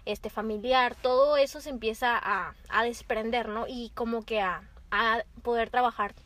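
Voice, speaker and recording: A woman's voice: on the high side, steady tone, normal speed, fairly bright tone, no room sound. A woman in her early twenties with a Mexican accent, chatting casually as a co-host in a colloquial, slangy, explanatory way. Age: early 20s. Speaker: co-host of a conversational show. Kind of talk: casual chat.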